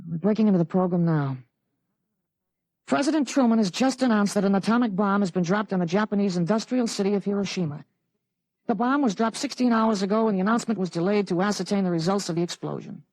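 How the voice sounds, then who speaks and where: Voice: mid-range voice, fast, a little mellow, dry recording, natural voice, poor audio. An actor playing a thirteen-year-old boy with a grown man's mind, articulate and earnest, in a 1950s radio drama. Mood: confident, matter-of-fact. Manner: articulate, earnest, matter-of-fact. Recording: vintage 1950s radio broadcast recording.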